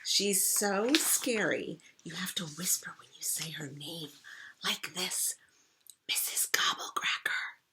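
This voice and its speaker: A woman reading a storybook aloud, voicing a young brother who talks in a hushed, conspiratorial whisper. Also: mid-range voice, lively intonation, takes it slowly, hardly any pauses, slight background noise, voice pushed up, full character voice, poor audio.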